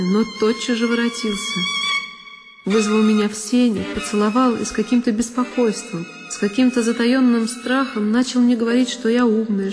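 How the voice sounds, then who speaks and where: A woman actress giving an expressive, reflective narration in a one-woman audio play. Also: fairly high pitch. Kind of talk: scripted literary narration. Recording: long reverb with violin music. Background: solo violin.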